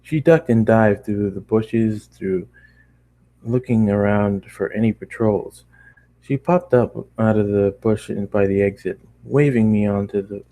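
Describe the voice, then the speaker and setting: A man's voice: lowish voice, dark tone. A man narrating a story informally as an amateur reader, often stumbling over words and starting lines again. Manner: informal, stumbling over the text and correcting himself. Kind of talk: read-aloud fan fiction narration.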